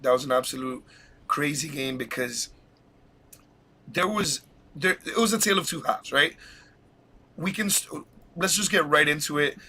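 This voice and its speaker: An excited man hosts a casual live podcast, voice bright and forceful. He rambles, restarts and laughs often, sounding like he's pushing through a cold. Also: a little high, moderate pace, long pauses, husky. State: has a cold. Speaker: podcast host.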